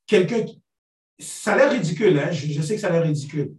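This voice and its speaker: A man's voice: a little high, animated, quick pace, darkish tone. A man, speaking thoughtfully in a group discussion and searching for his words. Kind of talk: earnest discussion. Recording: roomy, distant from the mic.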